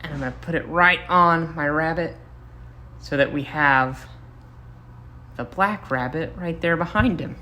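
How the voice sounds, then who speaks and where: American male primary teacher, casual and playful demo for young children, clearly noisy home recording. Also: a little high, bright, forceful tone. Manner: playful, talks to young viewers as he works. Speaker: first-grade teacher. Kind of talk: casual craft demonstration for children.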